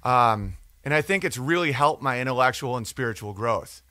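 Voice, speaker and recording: Bright-voiced man ranting, profane and opinionated. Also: medium pitch, lively intonation, moderate pace, no room sound, studio quality. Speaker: podcast or livestream host. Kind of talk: casual ranting monologue, reading and answering comments.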